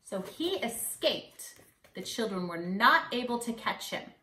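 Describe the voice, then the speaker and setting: A woman in her forties explains things to young pupils in simple, instructional phrases. Her voice is high and lively, recorded in a roomy living room from a few feet away. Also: measured pace. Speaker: teacher reading to pupils. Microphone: camera a few feet away. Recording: roomy home recording, slightly distant camera.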